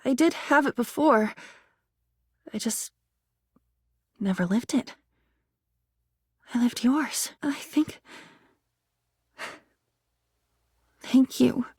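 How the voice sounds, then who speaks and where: A woman voicing a whimsical, neurotic living diary in a video game, with a theatrical, rambling way of talking that swings between wonder and excitement. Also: fairly high pitch, husky, no room sound. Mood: tender, grateful. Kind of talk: video game character acting.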